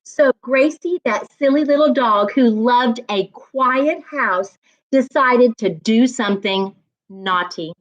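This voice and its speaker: A woman reading aloud and teaching as a storytime reading coach, with deliberate, emphatic phrasing meant for young listeners. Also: fairly high pitch, lo-fi sound. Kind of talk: expressive children's read-aloud and teaching.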